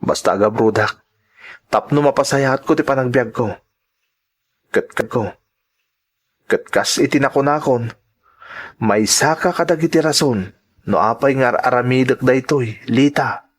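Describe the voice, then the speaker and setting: A man's voice: mid-range voice, measured pace, pauses often, natural voice. A man with an Ilocano accent playing an earnest, devoted young son working abroad, in sentimental radio drama style.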